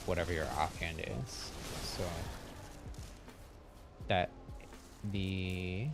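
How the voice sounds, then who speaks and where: A man's voice: deep voice, fairly even intonation, extremely slow, gravelly, over music. A man giving casual live gaming commentary as a streamer, chatting in an easygoing way and thinking aloud. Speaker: game streamer.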